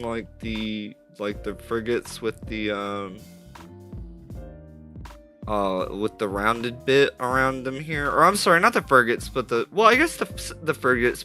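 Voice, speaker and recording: A man streaming live as he builds a game model, chatting casually and rambling with constant self-corrections, his voice a little stuffy through a headset mic. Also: mid-range voice. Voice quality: slightly stuffy. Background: soft jazz music playing.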